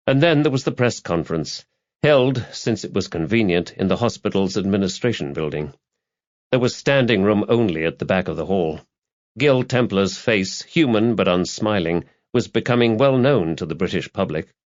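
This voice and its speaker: A man reading a crime novel as an audiobook narrator, with measured, dramatic storytelling. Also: on the low side, dull audio.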